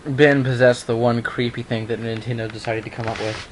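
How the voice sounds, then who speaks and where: A man's voice: medium pitch, flat delivery, fairly quick, background noise. A young man doing an informal amateur dramatic reading, dropping into casual offhand asides, recorded at home. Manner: informal, breaks off into offhand remarks. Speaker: online video maker reading a horror story aloud.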